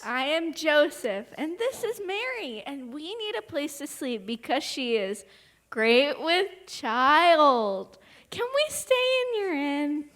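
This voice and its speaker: A woman playing an earnest little schoolboy in a live stage comedy, reciting in a stilted, halting way. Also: high-pitched, very animated, fairly bright tone, full character voice. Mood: stiff, earnest. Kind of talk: live comic stage drama.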